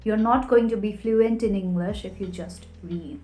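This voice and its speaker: A woman's voice: medium pitch, normal speed, dark tone. Young woman giving emphatic tips. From Nepal. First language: Nepali. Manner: emphatic, tip-by-tip delivery. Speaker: vlogger. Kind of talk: scripted advice vlog. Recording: echoey room with faint music.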